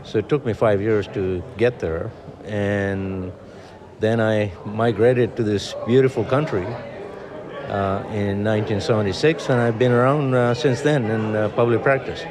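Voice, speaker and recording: A man's voice: fairly low pitch, fairly even intonation, unhurried. A man in his seventies from Tanzania, an accountant, telling chatty, self-mocking anecdotes. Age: 70s. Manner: chatty, jokey, laughs at himself. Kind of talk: casual anecdotes. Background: crowd chatter.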